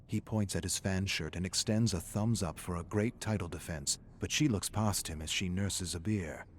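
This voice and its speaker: A man with a fairly low voice narrates a gritty story in the present tense. His delivery is theatrical and tense, with faint noise behind the reading. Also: normal speed.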